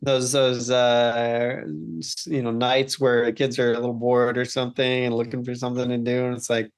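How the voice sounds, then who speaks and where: A man's voice: medium pitch, completely flat delivery, normal speed, smooth. A man talking casually as a podcast co-host, earnest and informal, often repeating words as he goes. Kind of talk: casual conversational talk.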